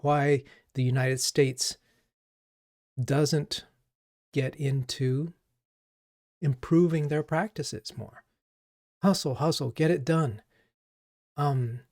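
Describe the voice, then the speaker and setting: A man from Japan hosting a coding livestream as a coach, chatting casually and haltingly as he thinks aloud. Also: fairly high pitch, darkish tone, dry recording, clean studio sound.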